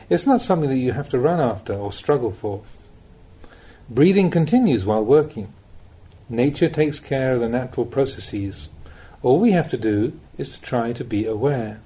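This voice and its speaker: A man reading a spiritual teaching aloud as an audiobook, with measured, deliberate phrasing and pauses. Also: medium pitch, dark tone, husky. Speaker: Buddhist monk reading recorded teachings. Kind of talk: audiobook reading of a Dhamma talk.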